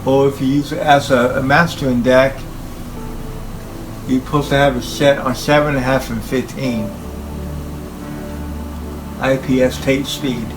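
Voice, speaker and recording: A man giving an informal, halting talk about equipment as a hobbyist presenter, speaking in short phrases. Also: mid-range voice, level tone, music in the background, rough recording. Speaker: hobbyist presenter showing his tape machines.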